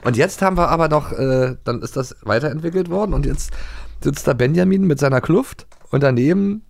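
A man's voice: on the high side, expressive, fairly quick, creaky. A middle-aged man chatting casually as a podcast co-host, full of fond, gushing interjections. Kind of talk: casual chat.

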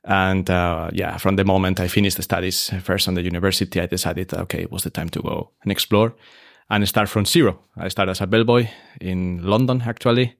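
A deep-voiced man talks through his career at an easy pace. His voice is low and gravelly, full of "uh" and restarts. The sound is dry and close. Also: a little fast, few pauses, no echo. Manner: relaxed, many fillers and restarts. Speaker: hotel operations expert, guest. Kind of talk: casual interview conversation.

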